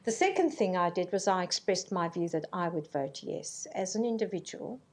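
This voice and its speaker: A middle-aged woman born in Zambia giving a formal conference welcome speech, measured and earnest, with frequent ums. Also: fairly low pitch, expressive. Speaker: university vice-chancellor. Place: conference hall.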